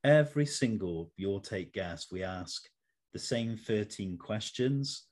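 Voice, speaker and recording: Male interview host, lower register. Measured, formal phrasing, prepared questions. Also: animated, a little mellow.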